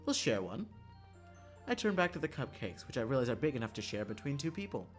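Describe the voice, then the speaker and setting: A man's voice: medium pitch, very animated, very fast. A man streaming a visual novel, reading the narration aloud in a dramatic storytelling style, with music behind his voice.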